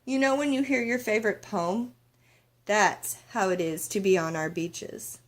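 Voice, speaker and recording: A woman's voice: medium pitch, measured pace, lightly acted. Storybook grandmother voiced by a woman reader: elderly, loving, dreamy, reminiscing in poetic phrases. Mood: dreamy, nostalgic.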